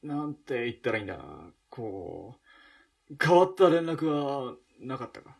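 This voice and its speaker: A man playing an awkward, evasive former soldier in a radio drama, speaking in a hesitant, halting way. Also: medium pitch, very animated. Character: awkward, evasive male ex-soldier, reluctant bearer of news. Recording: distant from the mic.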